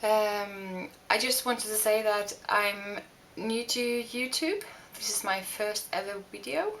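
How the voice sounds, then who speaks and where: A young woman from Norway with a Norwegian accent, talking through a makeup tutorial with many pauses and restarts. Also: mid-range voice, a bit bright, slight background noise. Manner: hesitant, many fillers and restarts. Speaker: beauty vlogger. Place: home room.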